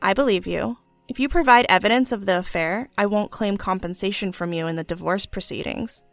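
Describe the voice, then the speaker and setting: A wronged young wife, played by a woman narrator, firm and composed. Her curt lines are in a middle pitch, dry, with music underneath. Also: fairly quick, creaky, dry recording, close to an everyday voice. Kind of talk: audio story dialogue.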